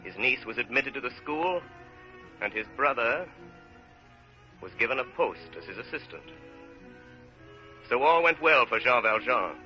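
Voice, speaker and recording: A man narrating a period saga in 1930s radio drama style, solemn and measured, with dramatic pauses. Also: medium pitch, lively intonation, sharp tone. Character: grave storyteller narrating a French period saga. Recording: muffled old radio broadcast, music behind.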